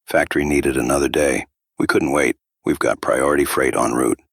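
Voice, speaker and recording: A man acts out a gruff trucker for an audio drama, with an extremely low voice full of gravel. He is husky, dry and sardonic, never in a hurry to get his words out. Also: fairly even intonation, moderate pace, fairly bright tone, no room sound, exaggerated voice. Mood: matter-of-fact. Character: gruff, laid-back male truck driver, dryly sardonic.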